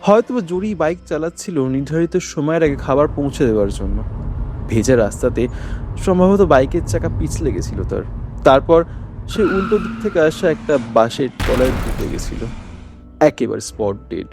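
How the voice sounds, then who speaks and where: A man's voice: a little high, expressive, moderate pace, music in the background. A man playing a courteous, subdued young food delivery rider in an audio drama, with a polite, matter-of-fact way of talking. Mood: grave, sorrowful. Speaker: voice actor in an audio story. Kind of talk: dramatized audio horror story dialogue.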